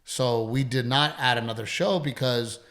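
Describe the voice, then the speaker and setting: A man's voice: mid-range voice, normal speed, fairly bright tone. A middle-aged man, a comedian hosting a podcast, chatting casually in a rambling, profane, self-deprecating way. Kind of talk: casual chat.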